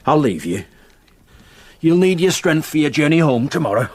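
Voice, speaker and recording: An actor playing a grave countryman in a radio drama, telling of a haunting slowly and deliberately. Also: a little high, very animated. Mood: kindly. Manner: slow, deliberate storytelling with weighty pauses.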